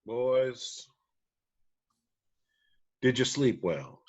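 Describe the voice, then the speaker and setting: A man playing a slow, folksy band leader. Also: medium pitch, animated, a little mellow, breathy edge, lo-fi sound, full character voice. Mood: amused, welcoming.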